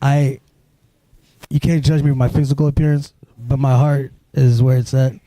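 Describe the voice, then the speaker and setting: A man from Sudan telling his life story as an interview guest, halting, with many restarts and "you know"s. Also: medium pitch, level tone, warm tone.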